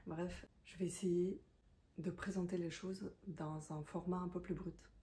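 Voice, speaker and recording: Casting note: low-ish, warm woman, non-native speaker, reflective and hesitant. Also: little pitch movement, unhurried. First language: not French. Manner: hesitant, many fillers and restarts; thoughtful and confiding. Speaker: content creator, self-employed. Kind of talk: reflective talking-head monologue.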